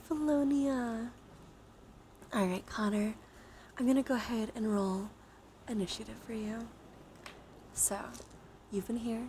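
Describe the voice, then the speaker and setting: A woman hosting a live ASMR stream, speaking softly and gently to viewers and often slipping into whispers. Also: a little high, slight background noise.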